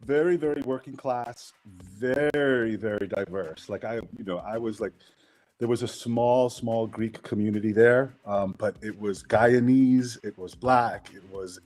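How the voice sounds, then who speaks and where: A middle-aged man from Queens, New York, a guest in a casual interview, relaxed and candid, laughing often. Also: mid-range voice, measured pace.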